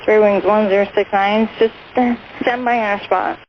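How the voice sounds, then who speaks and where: A woman working as an air traffic controller over aviation radio, giving clipped clearances in a halting, repetitive way. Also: medium pitch, steady tone, highs cut off, crowd noise.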